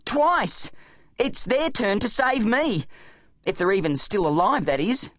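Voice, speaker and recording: An exasperated boy hero in a storybook, acted by a woman. The voice sits well above a low natural register and swings around with earnest insistence. The sound is dry and close. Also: very animated, a little slow, dry recording. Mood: resigned, bitter. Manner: insistent, glum. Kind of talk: acted audiobook dialogue.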